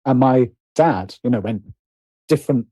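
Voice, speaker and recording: A man's voice: medium pitch, lively intonation, moderate pace, dark tone, no room sound. A British man telling personal stories conversationally as an interview guest, hesitant and often interrupting himself as he thinks aloud.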